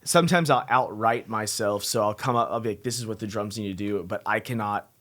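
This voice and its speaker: A man's voice: on the low side, a little fast, a bit bright. A man hosting a podcast, chatting casually, laughing often and filling his talk with "like" and "you know". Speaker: podcast host. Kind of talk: casual interview chat.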